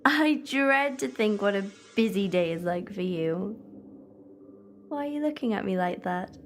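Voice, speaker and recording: A woman's voice: mid-range voice, animated, measured pace, slight background noise, lightly acted. A young woman playing a plucky, outspoken time-traveller's companion in an audio drama, candid and questioning. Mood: wry.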